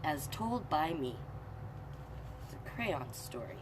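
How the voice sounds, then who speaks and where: Low-voiced woman hosting children's storytime. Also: very animated, slow pace. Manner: explains pictures and prompts young viewers. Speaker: online storytime host and reader. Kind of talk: children's storytime, reading aloud with chatty asides. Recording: clear background noise.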